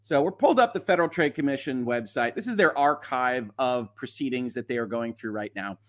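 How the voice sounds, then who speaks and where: A man's voice: a little high, a bit bright. A man hosting a livestream, explaining documents in an informal, conversational way with emphatic stresses and wry asides. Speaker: host of a legal commentary livestream. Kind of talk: informal explanatory commentary, reading documents aloud.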